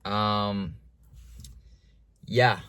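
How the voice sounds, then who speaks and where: Casual young man, low and dry. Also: fairly even intonation, drawn-out words, a bit bright, clean tone, dry recording. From United States. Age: young adult. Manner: casual and confessional, with frequent fillers and restarts. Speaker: vlogger. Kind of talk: candid personal monologue to camera.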